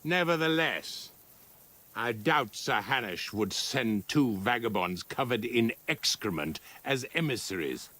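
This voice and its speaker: An actor playing a stern, sardonic castle commander in a video game. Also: male voice, medium pitch, expressive, a little slow, pushed and bright. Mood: dismissive, sardonic. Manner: condescending, sardonic. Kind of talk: cinematic video game acting.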